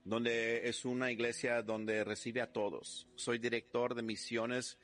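A man who is a pastor, speaking in a steady, unhurried and firm way. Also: medium pitch, soft background music.

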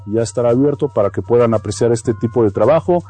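Very mellow Mexican man, native Mazatec speaker. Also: mid-range voice, quick pace, soft background music. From Oaxaca, Mexico. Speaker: guest, visual artist. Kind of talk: radio interview answers.

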